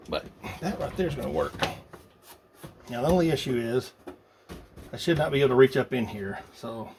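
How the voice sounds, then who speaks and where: A man casually narrating a hands-on build, muttering and thinking aloud as he works. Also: medium pitch. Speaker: hobbyist radio builder. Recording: noisy workshop recording with clicks.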